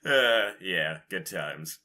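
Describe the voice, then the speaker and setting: A man's voice: low-pitched, lively intonation, very slow, bright, forceful tone. A man hosting a podcast, chatting casually about game news in an informal, rambling, casually profane way. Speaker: podcast host.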